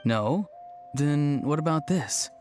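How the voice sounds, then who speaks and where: A man's voice: fairly high pitch, lively intonation, takes it slowly, music in the background. A man voicing a friendly, mild young craftsman who hesitates and thinks aloud in a fantasy game. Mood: mild, pondering. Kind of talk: animated fantasy game dialogue.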